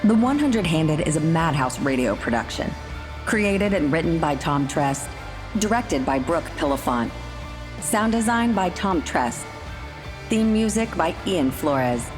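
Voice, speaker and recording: A woman's voice: low voice, animated, a little slow. A woman reading scripted credits as the announcer of an audio drama. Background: prominent music.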